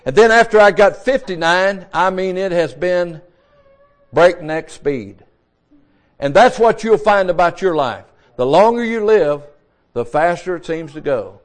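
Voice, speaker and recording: A man's voice: high-pitched, lively intonation, unhurried. A man in his sixties, a pastor, preaching a sermon in a folksy, repetitive way, restarting his words. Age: 60s.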